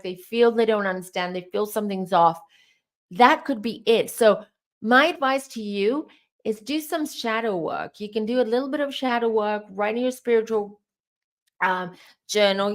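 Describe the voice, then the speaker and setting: Woman, tarot reader, voice going hoarse. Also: mid-range voice. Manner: rambling, advice-giving, speaks straight to viewers. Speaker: tarot reader and live-stream host. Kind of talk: live-stream spiritual Q&A, answering chat questions.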